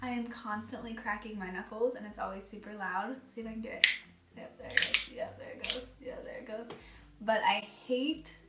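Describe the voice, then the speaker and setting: Soft-spoken young woman, echoey room. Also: medium pitch, fairly even intonation, brisk, very noisy. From United States. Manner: chatty, giggly, self-deprecating. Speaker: vlogger. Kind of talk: casual vlog chat. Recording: echoey room, voice a bit far from mic, faint music.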